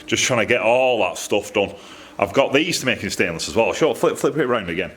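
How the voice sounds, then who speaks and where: A man's voice: fairly low pitch, expressive, fairly quick, a bit bright, slight background noise. A man with a Northern English accent rambles casually to camera in a colloquial, self-deprecating way, recorded in a workshop. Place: metalworking workshop, indoors.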